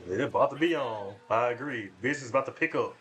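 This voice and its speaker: A man hosting a live reaction stream, shouting quick excited comments over a wrestling broadcast into a close mic. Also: medium pitch, slight background noise. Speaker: livestream reaction host. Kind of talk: casual live reaction chat.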